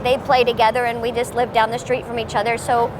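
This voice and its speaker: A woman voice actor chatting in an interview, telling stories in a lively way with playful voice bits. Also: on the high side, fast talker. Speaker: voice actor guest. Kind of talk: casual interview chat. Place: busy convention. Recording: noisy convention floor.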